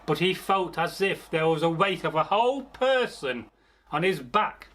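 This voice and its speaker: A man telling a folktale aloud as a storyteller, theatrical, pausing mid-phrase and speaking directly to his listeners. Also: a little high, lively intonation, a bit bright. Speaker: storyteller, channel host. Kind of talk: oral folktale storytelling, improvised.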